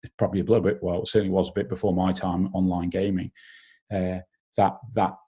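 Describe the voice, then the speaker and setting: A man with a Manx English accent hosting a business podcast, measured and polite, a little hesitant when asking questions. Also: low voice, flat delivery, warm tone, breathy edge, highs cut off. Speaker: podcast host.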